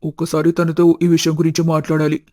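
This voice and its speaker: A man's voice: on the high side, monotone, fairly quick, warm tone, no echo, studio quality, natural voice. A man playing an earnest, lovestruck young man with firm principles in scripted cartoon story dialogue.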